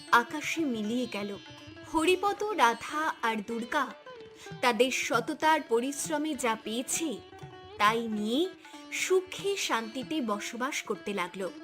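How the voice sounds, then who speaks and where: High, bright woman storyteller. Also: lively intonation, slow pace, soft background music. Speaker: narrator. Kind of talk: dramatic scripted cartoon story narration.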